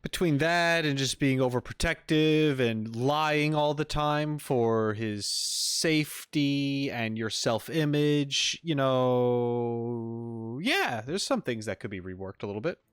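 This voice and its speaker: A man's voice: medium pitch, lively intonation, takes it slowly, fairly bright tone, smooth, no echo. A man streaming a video game, reacting casually on a close mic. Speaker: gaming streamer.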